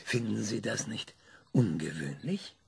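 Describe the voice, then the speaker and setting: In a radio play, an eccentric, cranky older man who stalls with many ums and then lectures. His voice is gravelly and rises and falls in lively swings. A clear hiss of noise runs under the recording. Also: lowish voice, a little slow, exaggerated voice. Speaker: interviewee.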